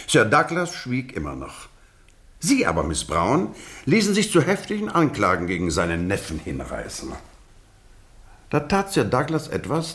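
A man acting in a German radio drama as a courteous, probing investigator, speaking in a measured, ironically polite and rhetorical way. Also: medium pitch.

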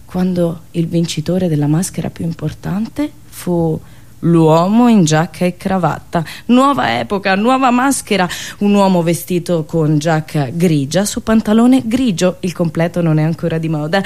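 Woman radio storyteller, satirical monologue, expressive n theatrical, deliberate w dramatic pauses, normal mid pitch. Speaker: radio show host and storyteller.

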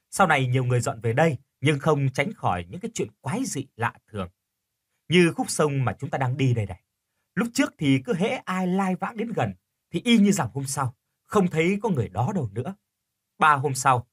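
A man voicing a courteous ferryman in an audio story, telling village lore in an unhurried way. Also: medium pitch, a bit bright, husky.

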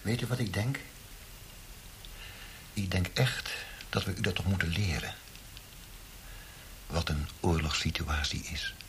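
Menacing interrogator, wildly swinging intonation. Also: male voice, low voice, animated. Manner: mocking, theatrical, slow deliberate threats. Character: menacing, sneering government interrogator, scarred by a terrorist attack. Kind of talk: Dutch radio play acting. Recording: hissy old radio play recording.

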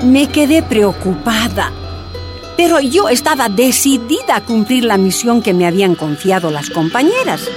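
A woman's voice: on the high side, big pitch swings, moderate pace, music in the background. An actress playing a determined Bolivian activist who tells her own story plainly in a radio drama. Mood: resolute. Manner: plain first-person storytelling.

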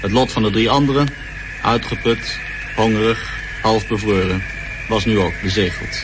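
A man's voice: lowish voice, steady tone, moderate pace, close to an everyday voice. An actor as the sober narrator of a historical radio drama, grave and factual in his scripted account. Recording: noisy old radio recording.

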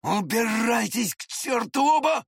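A man voicing a spiteful, pushy young swindler with an irritable, scornful, excitable manner in an audiobook. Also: fairly high pitch, measured pace, vocal fry, breathy edge, dry recording, studio quality, voice pushed up, heavily acted. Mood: furious. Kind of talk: dramatized audiobook character voice.

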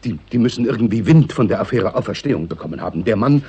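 An actor in a 1960s radio play, playing a formal, fussy and suspicious male government official with a husky voice. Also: lowish voice, expressive, quick pace, mellow, dry recording. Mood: agitated.

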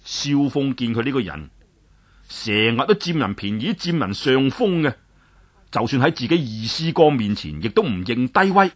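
A man telling a traditional story, dramatic and expressive, swinging his pitch up and down. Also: moderate pace.

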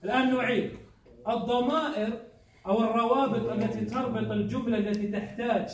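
A man with a very high voice teaches a grammar lesson and keeps pausing to quiz his class. He is recorded from a distance, so the room echoes around him and a faint hiss sits underneath. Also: steady tone. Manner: quizzes the class with repeated prompt questions.

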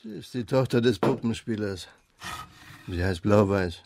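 An actor playing a chatty, obliging tavern keeper in a radio crime drama, informal and gossipy. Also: male voice, lowish voice, a little slow, creaky, darkish tone, a little hiss or hum. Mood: chatty, informative.

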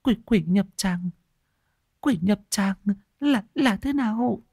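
A man's voice: a little high, lively intonation, normal speed, husky, no echo, studio quality, voice pushed up. A man voicing a frightened elderly grandmother who stammers and repeats her words in a storytelling recording. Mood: terrified.

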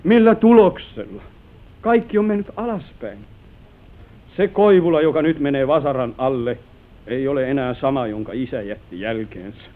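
A remorseful gentleman officer acted by a man speaks in a medium-pitched, declamatory voice, full of grave self-reproach. It comes from an old radio play with narrow, phone-like sound. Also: close to an everyday voice. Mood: bleak remorse. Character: remorseful, brooding lieutenant losing his family manor. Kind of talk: old radio drama acting.

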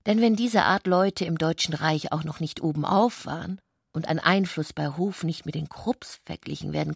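A woman narrates literary fiction for radio in a husky, breathy voice with a creaky edge. The recording is very dry and intimate in its lack of room sound. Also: on the low side, no room sound.

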